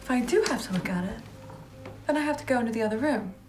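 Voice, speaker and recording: An actress playing an anxious young woman waiting for a call, pleading and swinging between hushed whispers and outbursts, over background music. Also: a little high, animated, fast, natural voice. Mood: anxious bargaining. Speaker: actress performing a monologue. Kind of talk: dramatic monologue.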